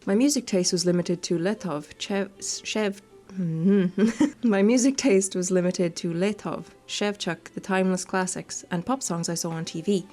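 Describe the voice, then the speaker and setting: A woman's voice: medium pitch, unhurried, darkish tone. A woman doing casual, playful gaming commentary and reading game text aloud, chatty and informal. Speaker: gaming video host. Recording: music playing behind the voice. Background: background music.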